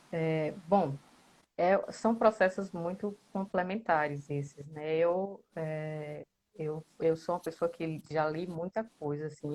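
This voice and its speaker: Woman, Brazilian accent, slightly low pitch, casual interview answers, explanatory, conversational. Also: darkish tone, low-quality recording. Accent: Brazilian Portuguese. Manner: conversational, explanatory, with frequent tag questions. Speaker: interviewed member of a writing group.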